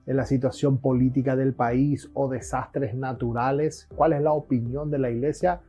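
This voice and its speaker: A man's voice: mid-range voice, level tone, moderate pace, dark tone, soft background music. A man hosting an interview, agreeing often and asking questions with hesitant restarts. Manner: agreeable, many short affirmations, hesitant restarts. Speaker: interview host. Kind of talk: interviewing, affirming. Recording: dull lo-fi sound.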